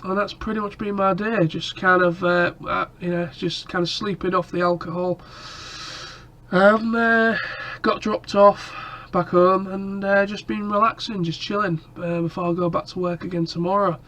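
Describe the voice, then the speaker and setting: A man's voice: high-pitched, steady tone, normal speed, sharp tone, slight background noise. A man chatting casually to camera in a rambling way, his nose stuffed up and coughing from a cold. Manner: rambling, lots of ums and restarts. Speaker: vlogger.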